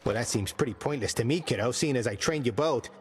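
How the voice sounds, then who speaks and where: An actor playing a gruff, joking male skeleton commander who trains fighters and mixes lofty old words with slang, delivered deadpan. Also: medium pitch, quick pace, long reverb tail, faint music behind, close to an everyday voice. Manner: deadpan jokes mixing archaic and slang words. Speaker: combat trainer.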